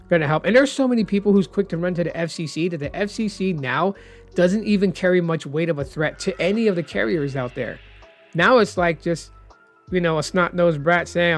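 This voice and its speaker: Hoarse, chatty man over faint music. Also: a little high. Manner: chatty, rambling, opinionated. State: hoarse, losing his voice. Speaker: tech vlogger. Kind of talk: casual explainer vlog.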